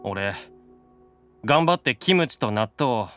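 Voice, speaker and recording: A man's voice: mid-range voice, animated, slow, fairly bright tone, soft background music. A man playing an earnest, gluttonous young demon brother in an anime audio drama, sincere and plaintive. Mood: resigned, sincere.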